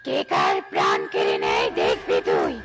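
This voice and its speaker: Evil three headed cartoon witch, super low croaky voice, shouty and menacing, loud music behind. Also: flat delivery, normal speed, recorded from a distance. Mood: gloating, threatening. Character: evil three-headed witch, vengeful mother dreaming of world rule. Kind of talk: dramatic cartoon fairy-tale dialogue.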